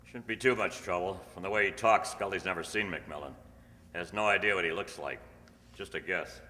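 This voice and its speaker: An actor playing a businesslike police detective and narrator in a radio crime drama, terse and deadpan. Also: male voice, low-pitched, fairly quick. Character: businesslike police detective who also narrates, clipped and matter-of-fact. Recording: echoey hall, loud music behind.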